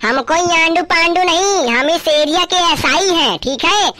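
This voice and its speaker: Man doing a bright, high-pitched bossy cartoon cop. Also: unhurried, no echo, exaggerated voice. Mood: irritated, scolding. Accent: eastern Hindi belt, rural Uttar Pradesh. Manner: scolding, self-important, swearing. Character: bossy, bribe-taking male village police sub-inspector. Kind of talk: comedy cartoon dialogue.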